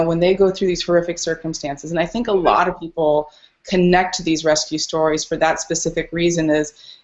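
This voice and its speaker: A woman's voice: deep voice, lo-fi sound. A woman speaking as a dog rescuer and podcast guest, telling stories casually and earnestly. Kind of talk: casual interview storytelling.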